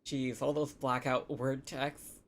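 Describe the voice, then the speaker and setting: A woman's voice: extremely low pitch, little pitch movement, slow pace. A woman game streamer giving casual, playful, reactive live commentary and reading dialogue choices aloud.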